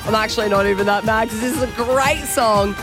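A woman's voice: on the high side, brisk, over music. A woman hosting breakfast radio, upbeat and playful, speaking with a cold. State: has a cold. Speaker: radio host. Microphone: studio mic.

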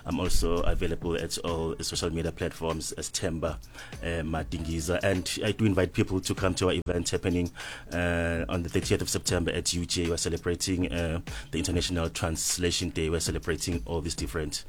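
Deep-voiced man, measured radio guest. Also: little pitch movement. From South Africa. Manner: measured, repeats words, many fillers. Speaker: language lecturer guest. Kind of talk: radio interview answers.

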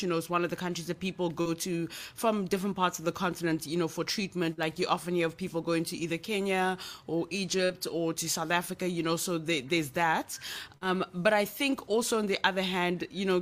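Chatty, playful woman host. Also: fairly low pitch. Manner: chatty and playful, heavy use of filler words. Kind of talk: casual conversational discussion. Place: in Ghana.

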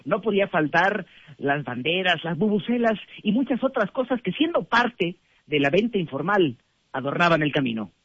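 A man with a Mexican accent giving a measured radio field report. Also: sits high, very animated, a bit bright, slightly rough, dull audio. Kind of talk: news report narration.

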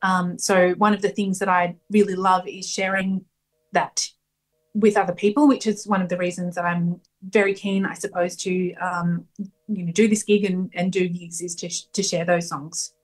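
A woman folk singer answering interview questions thoughtfully, pausing often with fillers. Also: fairly low pitch, little pitch movement, low-quality recording. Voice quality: strong voice. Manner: thoughtful, hesitant with many ums. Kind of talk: radio interview, casual answers.

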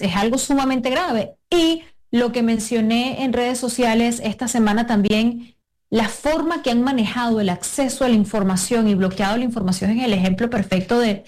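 A woman's voice: a little high, a little mellow. A woman in her thirties from Venezuela, answering interview questions earnestly, often restarting and hesitating.

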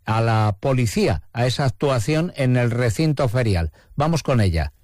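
A man's voice: medium pitch, lively intonation, moderate pace, darkish tone, dry recording, studio quality. A man with an accent from Spain, presenting local news on the radio. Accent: Spanish from Spain.

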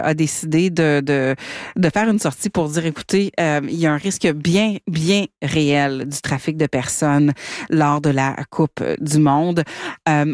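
A woman hosting live radio in a conversational, halting way, often rephrasing as she goes. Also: deep voice, no echo, clean studio sound. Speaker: radio host. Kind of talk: live radio presenting and interviewing.

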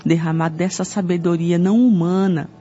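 Casting: Brazilian evangelical prayer leader, mid-pitched, fervent and pleading, constantly invoking God, music underneath. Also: steady tone, normal speed, warm tone. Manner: fervent, pleading, punctuated by repeated invocations. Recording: dull lo-fi sound with music behind.

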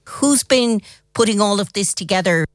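A woman's voice: medium pitch, animated, normal speed, no echo, studio quality. A woman working as a radio news reporter, presenting a report and asking interview questions.

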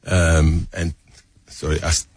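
A man's voice: a bass voice, level tone, a little slow, darkish tone, husky, no echo. An older man in his seventies with a Greek accent, chatting in an interview with rambling, hesitant, self-deprecating stories. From Greece. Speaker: restaurant owner guest. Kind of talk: casual interview chat.

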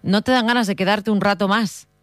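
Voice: medium pitch, fairly even intonation, brisk, a bit bright, no room sound. A radio host holding a conversational interview, repeating words while forming questions.

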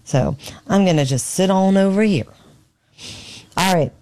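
A woman filling in as a radio talk host from her home studio, hoarse and losing her voice, talking in a chatty, opinionated way. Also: medium pitch, unhurried, dark tone, a little hiss or hum. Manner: chatty and opinionated, thinking aloud. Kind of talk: casual talk radio commentary.

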